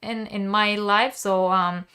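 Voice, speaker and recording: Slow-talking woman teaching crochet. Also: mid-range voice, fairly even intonation, sharp tone, clear, resonant tone. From Israel. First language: Hebrew. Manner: explanatory, many ums and uhs. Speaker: crochet teacher hosting a live stream. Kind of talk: live-stream tutorial, reading chat.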